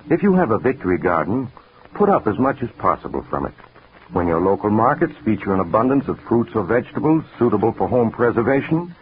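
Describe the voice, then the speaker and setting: A male radio host reading an earnest, scripted public appeal in an old broadcast. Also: lowish voice. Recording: lo-fi 1940s radio recording, background noise.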